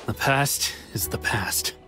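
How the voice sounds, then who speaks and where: Guy doing a tired defeated samurai from an anime game, quiet resigned talk, music under it. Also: takes it slowly, in a whisper, lightly acted. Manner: subdued, resigned. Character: weary, resigned defeated swordsman.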